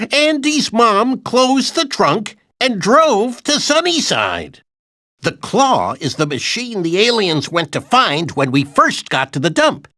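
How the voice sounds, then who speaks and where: A man's voice: high voice, pushed and bright, no echo, clean studio sound, full character voice. A man voicing an anxious, childlike toy dinosaur in a video game, earnestly retelling adventures with dramatic emphasis. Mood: earnest, excitable. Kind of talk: animated video game storytelling narration.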